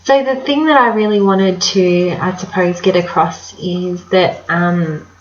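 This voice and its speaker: A woman's voice: lowish voice, unhurried, reverberant, highs cut off. A young woman in her twenties, a vlogger chatting casually to camera and giving advice, with many ums and a slightly nasal sound from a cold. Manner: chatty, warm-hearted advice with many fillers.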